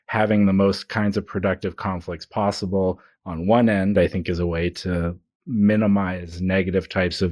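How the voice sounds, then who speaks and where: Deep-voiced man in reflective podcast discussion. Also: a little mellow, no echo, clean studio sound. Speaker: comedy podcast host.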